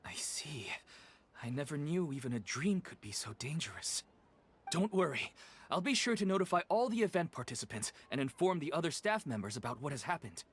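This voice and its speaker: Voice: medium pitch, sing-song, a little fast, a little hiss or hum, natural voice. An actor playing a polite, deferential event staff member in a video game. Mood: reassuring. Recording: game audio with background music.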